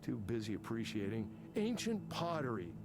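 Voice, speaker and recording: A man's voice: mid-range voice, sing-song, normal speed, vocal fry, noisy background. An actor playing an angry, self-important tech programmer in his fifties for a video game, ranting with sarcasm and swearing. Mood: bitter, exasperated. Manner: combative, sarcastic, profane ranting. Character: angry, embittered, self-important fifty-something male tech company programmer. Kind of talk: naturalistic video game voice acting.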